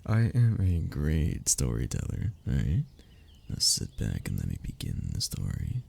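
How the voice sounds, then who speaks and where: A man's voice: a bass voice, wildly swooping pitch, unhurried, gravelly, dark tone, husky, full character voice. A man playing a sentimental, teasing young man in an ASMR roleplay, talking intimately and conversationally to a childhood best friend. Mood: playful teasing. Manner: intimate, conversational, with sighs and chuckles. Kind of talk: ASMR roleplay audio drama.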